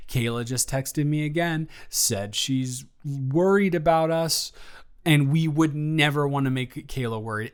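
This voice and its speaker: A man playing a flustered, evasive young roommate in an improvised audio roleplay, rambling and halting with theatrical exasperation. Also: medium pitch, smooth, lightly acted. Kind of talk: improvised intimate roleplay monologue.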